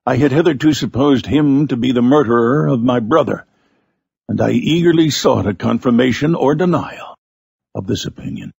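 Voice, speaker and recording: A man's voice: medium pitch, moderate pace, creaky, a little mellow, husky, no echo, highs cut off. A man narrating a Gothic novel in the first person, in a dramatic audiobook style.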